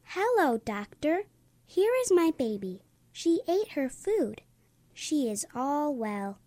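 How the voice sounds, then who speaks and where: A woman reading a storybook aloud and voicing a gentle little girl who mothers her teddy bear, in a soft, coaxing voice pitched above her narration. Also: sing-song, takes it slowly, no room sound, exaggerated voice. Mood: soothing. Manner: soft, coaxing, higher and quieter than the narration.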